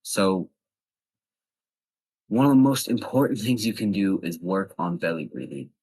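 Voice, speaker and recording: A man with a very low, husky voice talks to his viewers in a loose, chatty way. He gives blunt advice and addresses them directly, like a casual vlog tutorial. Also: lively intonation, unhurried, a little mellow, low-quality recording. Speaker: vlogger giving voice tips. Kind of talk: casual instructional talk to camera.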